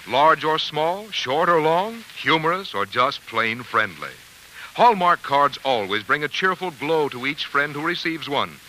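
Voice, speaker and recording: A man's voice: medium pitch, pushed and bright, poor audio. A man announcing commercials in polished, folksy 1940s radio style, selling directly to the listener. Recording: hissy old radio broadcast.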